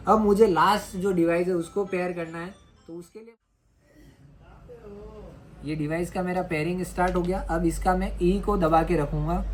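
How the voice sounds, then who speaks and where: A man's voice: fairly high pitch, darkish tone, rough recording. A man from India presenting a hands-on tutorial, explaining and repeating each step in an instructional way. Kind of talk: hands-on step-by-step product demonstration. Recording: clear background noise.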